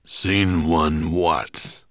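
Big grumpy storybook dragon boss, deep gravelly growl, bossy and mean, swings up and down. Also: male voice, big pitch swings, slow pace, no echo, clean studio sound, full character voice. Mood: bored, grumpy. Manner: imperious, snapping, contemptuous. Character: huge grumpy menacing male dragon master, bad-tempered tyrant.

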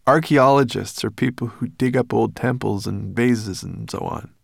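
A man's voice: medium pitch, normal speed, vocal fry, dry recording, clean studio sound, voice pushed up. A man voicing a friendly, alert human boy in a storybook, casual and matter-of-fact. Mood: explaining.

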